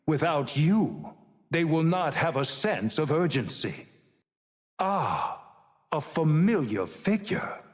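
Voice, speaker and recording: An ancient, wise old male mentor from a video game. He is solemn and cryptic, measuring out each phrase slowly in a mid-pitched voice that rises and falls widely. A long hall reverb trails behind his grave delivery. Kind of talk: video game hero voice lines.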